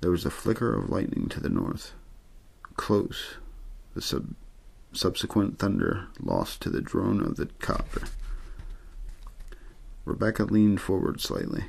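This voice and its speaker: A man reads a novel aloud for an ASMR audience. His voice is low and full of heavy, crackling fry, with a husky grain. He keeps the delivery plain and untheatrical, like an amateur narrator helping someone drift off. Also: wildly swooping pitch, measured pace, darkish tone. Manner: untheatrical, low-key delivery with occasional stumbles. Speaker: amateur audiobook reader. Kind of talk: ASMR story reading, scripted narration.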